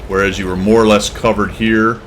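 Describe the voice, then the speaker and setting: A man hosting a casual gameplay tutorial, explaining in a relaxed, chatty way with asides and fillers. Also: fairly low pitch, a little fast, a little hiss or hum. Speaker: gaming channel host giving a tip.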